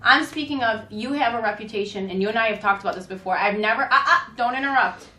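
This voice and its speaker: A woman's voice: on the high side, fast, pushed and bright. A woman playing a firm school counselor who reasons through a student dispute and admonishes step by step, in an amateur role-play. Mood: firm, reasoning. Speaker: school staff member. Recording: echoey room.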